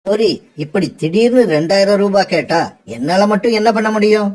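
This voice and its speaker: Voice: mid-range voice, brisk, a little mellow, close to an everyday voice. An actor voicing an adult bird parent in a children's cartoon, earnest and stern. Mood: worried.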